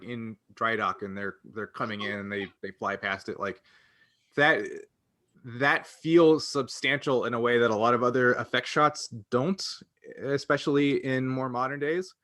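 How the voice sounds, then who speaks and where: A man's voice: medium pitch, fairly bright tone, dry recording, studio quality. A man co-hosting a casual fan discussion, speaking earnestly and often rephrasing himself mid-thought. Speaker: podcast co-host.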